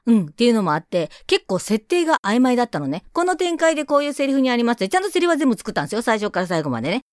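Lively female radio host in casual chat. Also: fairly high pitch, very fast, no echo, studio quality. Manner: chatty, self-deprecating, playful. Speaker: radio show host and scriptwriter. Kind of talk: casual solo show chat.

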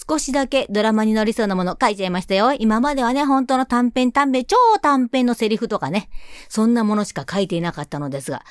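A woman's voice: on the high side, no echo, studio quality. A woman hosting a radio show, chatting politely with playful exclamations as she introduces a drama.

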